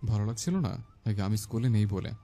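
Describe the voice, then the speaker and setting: A man's voice: fairly low pitch, animated, fast, dark tone, faint music behind, voice pushed down, close to an everyday voice. An actor voicing a male schoolteacher talking tersely to his sweetheart in an audio romance story. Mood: teasing, curt. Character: male schoolteacher, the girl's curt, caring sweetheart.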